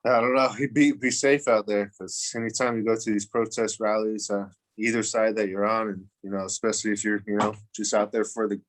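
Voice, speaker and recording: A man co-hosting a sports podcast, talking in a measured, hesitant way with many uhs. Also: on the low side, steady tone. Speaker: podcast co-host. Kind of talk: casual sports podcast chat.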